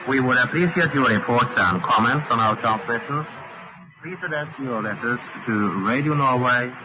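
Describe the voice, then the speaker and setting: A man's voice: medium pitch, moderate pace. A man announces formally from a script in vintage radio style. The old shortwave broadcast is heavily buried in noise.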